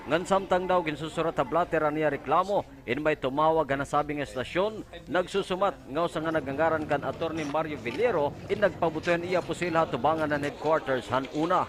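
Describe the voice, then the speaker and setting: A man's voice: a little high, brisk. A man from the reading scripted television news narration as a reporter.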